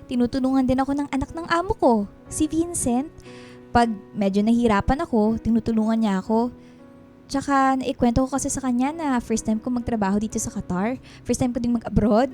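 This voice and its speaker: Casting: woman playing migrant-worker wife, high voice, loving but quick to exasperation, Filipino radio drama with music bed. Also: dark tone, close to an everyday voice. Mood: casual, affectionate.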